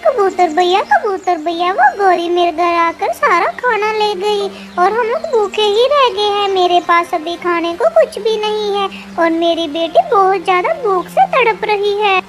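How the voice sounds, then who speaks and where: A woman's voice: extremely high pitch, expressive, a little slow, hardly any pauses, over music. A woman voicing an earnest, hard-working little mother sparrow, wronged but determined, in a children's cartoon. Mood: distressed. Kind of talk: children's cartoon story acting.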